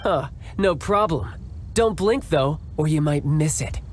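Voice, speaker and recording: An actor playing a suave, smug young fighter in a video game cutscene, talking in a smooth, mocking, politely condescending way. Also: male voice, on the high side, lively intonation, measured pace, noisy background.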